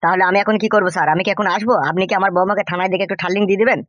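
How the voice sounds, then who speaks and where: A man's voice: high voice, steady tone, racing, fairly bright tone, no echo, muffled recording. A man voicing a complaining, quarrelsome old village father-in-law in a comic cartoon, crude and argumentative. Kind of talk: exaggerated comic cartoon dialogue.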